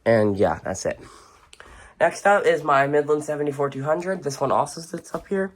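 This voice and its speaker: A video host giving a casual, unscripted show-and-tell indoors in an informal, halting way. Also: medium pitch, lively intonation, a little hiss or hum. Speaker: video host and weather radio collector. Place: indoors, in a room with shelves of radios.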